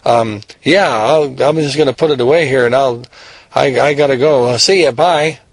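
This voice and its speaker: A man's voice: a little high, sing-song, moderate pace, vocal fry, husky, no echo, voice pushed up, heavily acted. A man voicing a nervous, mischievous young boy who stammers when caught. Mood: flustered. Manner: stammering, jittery.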